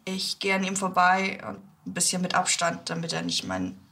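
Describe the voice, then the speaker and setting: A woman's voice: on the low side, very animated, normal speed, sharp tone, full character voice. A woman playing a female adventurer in a fantasy audio drama, narrating her own moves in the first person. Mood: wary, polite.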